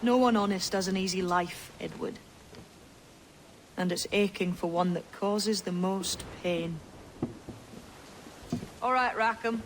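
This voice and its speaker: A woman's voice: mid-range voice, slow pace, pauses often, a little hiss or hum, close to an everyday voice, low-quality recording. An actress playing a stern, frank, principled woman pirate in a dramatic video game cutscene. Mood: challenging, grave.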